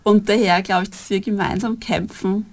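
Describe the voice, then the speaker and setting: A woman's voice: medium pitch, steady tone, normal speed. A middle-aged woman, a freelance artist, answering interview questions in a fluent, composed way. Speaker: freelance artist and theater co-founder.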